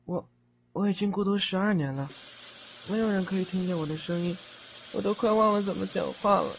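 A man's voice: high voice, a little fast, gravelly, slight background noise. A man playing the timid, lonely ghost of a young male student in an audio drama, hesitant and pleading. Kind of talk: Chinese audio drama acting. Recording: dull lo-fi audio, loud music.

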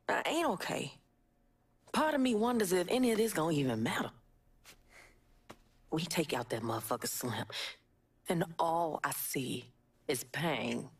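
An actress playing a tough, blunt young Southern activist fighter in a dramatic video game. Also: female voice, sits low, gravelly, slightly rough, no echo, full character voice. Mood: weary, troubled. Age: young adult. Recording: noisy ambience and car sounds.

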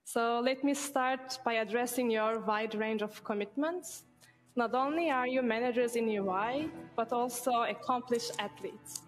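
Young woman moderator, friendly, kinda high voice, headset mic on stage. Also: a little slow, faint music behind. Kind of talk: moderating a panel. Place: studio stage with audience.

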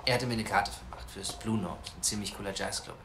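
An actor playing a charming, romantic journalist in an audio drama, easygoing and slightly boastful. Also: male voice, sits low, a little fast, creaky, fairly bright tone, slight background noise. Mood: reminiscing. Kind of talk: naturalistic audio drama acting.

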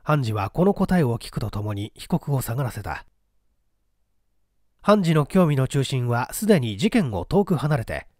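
A man's voice: on the high side, darkish tone, no room sound, studio quality. A man reading a mystery story aloud as scripted, dramatic narration. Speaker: audiobook reader.

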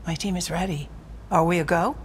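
An actress voicing a sultry, sly female criminal planner in a video game, breathy, smooth and teasing. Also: lowish voice, fairly quick, slight background noise, lightly acted. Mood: businesslike, smooth. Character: sultry, sly female crime boss's right hand. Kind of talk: cinematic video game acting.

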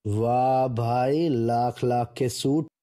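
A man with an Indian accent and a husky voice, performing short game callouts and catchphrases. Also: mid-range voice, steady tone, extremely slow, dark tone. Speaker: game voice-pack voice.

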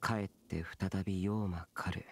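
A man's voice: low-pitched, steady tone, unhurried, breathy edge, heavily acted. A man playing a stern, aloof young immortal guardian in a game, curt and solemn with archaic wording. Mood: aloof, wistful. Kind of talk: Japanese game dub acting.

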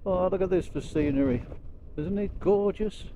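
A man with a Lancashire accent giving casual, unhurried storytelling commentary as he rides a motorbike, speaking into a helmet mic. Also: sits high, mellow. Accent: Lancashire English. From Lancashire, England. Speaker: motorcycle vlogger. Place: outdoors on a moving motorbike. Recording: vehicle noise while riding.

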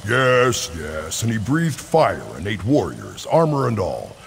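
Sarcastic fantasy game sceptic: low, gravelly, dismissive, faint noise behind. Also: male voice, huge pitch swings, measured pace, full character voice. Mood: mocking, bored. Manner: dismissive, mocking. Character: jaded, sarcastic male warrior sceptic. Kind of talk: fantasy video game dialogue.

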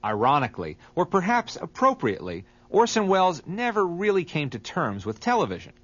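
A man's voice: on the high side, wildly swooping pitch, fairly quick, no echo. A man reading scripted documentary narration. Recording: dull, muffled audio.

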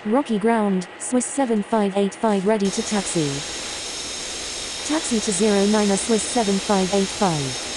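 A woman's voice: mid-range voice, measured pace, natural voice. A woman playing an airline pilot, giving formal, clipped scripted calls to air traffic control. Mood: matter-of-fact. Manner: clipped, formulaic phraseology.

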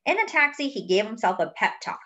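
A woman reading a children's picture book aloud expressively, pausing to explain words and pictures as if to young children. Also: on the high side, big pitch swings, bright, forceful tone. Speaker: host of a children's read-aloud.

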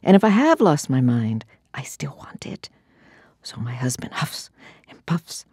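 A woman performs spoken-word poetry in a deep, dark voice with a husky grain. Her delivery is theatrical and deliberate, with breathy, hushed drops. The sound is close and dry. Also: big pitch swings, no echo. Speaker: poetry reader.

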